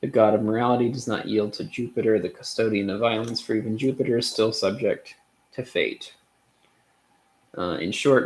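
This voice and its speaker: A man reading a philosophical essay aloud as a streamer, with frequent hesitations and off-the-cuff asides. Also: fairly low pitch.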